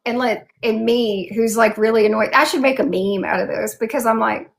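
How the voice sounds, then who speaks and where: A woman's voice: fairly high pitch, steady tone, brisk, creaky. A woman with a Southern American accent, interviewing in a casual chat with an effusive, colloquial, emphatic manner. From southern United States.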